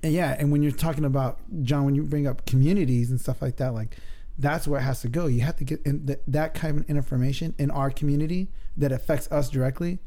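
Regular mid voice dude, rambly, jokey, slangy. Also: male voice, mid-range voice, steady tone, quick pace, warm tone. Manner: joking, rambling, casual slang. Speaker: podcast co-host. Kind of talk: casual chat.

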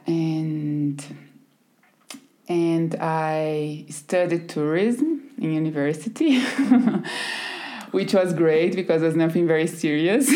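A woman in her thirties with a Brazilian Portuguese accent, a podcast guest telling her life story in a relaxed way, laughing softly often. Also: deep voice. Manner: warm storytelling with frequent soft laughs. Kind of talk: casual conversation.

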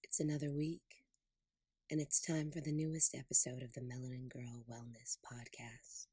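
Low, husky woman guiding a meditation. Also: little pitch movement, moderate pace, dark tone, dry recording. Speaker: podcast host and meditation guide. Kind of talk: guided meditation.

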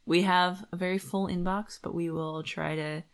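A woman's voice: fairly low pitch, steady tone, normal speed. A woman co-hosting a podcast, discussing a book casually and reasoning her points through in a conversational way. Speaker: podcast co-host.

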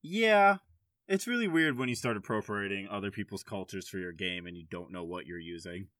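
A man's voice: lowish voice, expressive, a little fast, fairly bright tone, no room sound, clean studio sound. A man speaking frankly and casually as a podcast host, swearing now and then.

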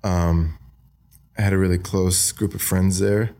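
A young man from Australia with an American accent, talking softly and hesitantly as a podcast guest, with many fillers. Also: sits low, fairly even intonation, unhurried. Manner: soft-spoken, hesitant, many ums and likes. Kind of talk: casual interview talk.

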